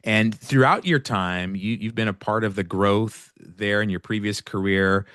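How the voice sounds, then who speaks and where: A man from Oakland hosting an interview podcast, asking measured, friendly questions and sometimes repeating words. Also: fairly low pitch, fairly bright tone, dry recording, studio quality. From Oakland, California. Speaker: podcast host.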